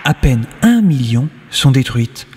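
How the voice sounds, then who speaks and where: A man's voice: mid-range voice, big pitch swings, slow, a little mellow, in a large hall. A man playing a weary, grumbling narrator of cosmic lore in a science fiction audio drama, lecturing and dropping into colloquial asides. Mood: didactic. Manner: didactic, with peevish colloquial asides. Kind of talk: mock-documentary narration in an audio sci-fi series.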